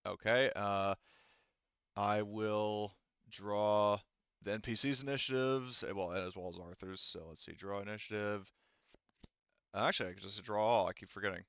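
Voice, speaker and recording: A man's voice: on the low side, fairly even intonation, normal speed, a bit bright, smooth, dry recording, dull audio. A man narrating a tabletop game as game master, speaking casually and informally, with some swearing. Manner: profane, informal.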